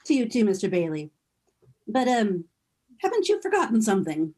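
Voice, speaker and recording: Woman as a husky, courteous bank teller. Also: mid-range voice, big pitch swings, moderate pace, darkish tone. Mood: polite, patient. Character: polite, patient bank teller. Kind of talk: radio play acting.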